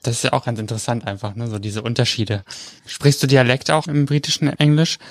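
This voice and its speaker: A man's voice: mid-range voice, fairly even intonation, brisk, no echo, clean studio sound. A man co-hosting an interview podcast, musing and hedging as he talks. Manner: musing, hedging with ne and glaube ich. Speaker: podcast co-host. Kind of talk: casual interview chat.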